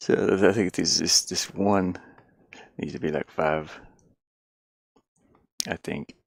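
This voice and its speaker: A man thinking out loud in casual livestream talk, muttering to himself as he works on a game. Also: low voice, fairly even intonation, takes it slowly, vocal fry, no room sound, clean studio sound. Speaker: indie game developer streaming.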